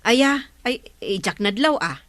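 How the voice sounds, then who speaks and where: A woman's voice: fairly high pitch, sing-song, slow pace, a bit bright, dry recording, heavily acted. An actress with an Ilocano accent playing a gossiping village woman in a radio comedy drama. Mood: curious, gossipy.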